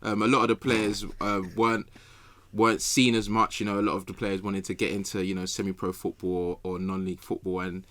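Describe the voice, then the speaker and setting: A London man with a fairly low but bright, forward voice. He chats casually and with self-assurance as a podcast panellist, peppering his talk with slang. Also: level tone, fairly quick. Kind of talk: casual chat. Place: bedroom, on a remote video call.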